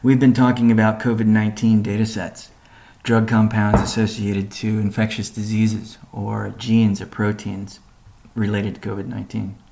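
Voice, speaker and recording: A man presenting a company update on a conference call, explaining in a measured way with deliberate pauses. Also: on the low side, little pitch movement, gravelly, mellow.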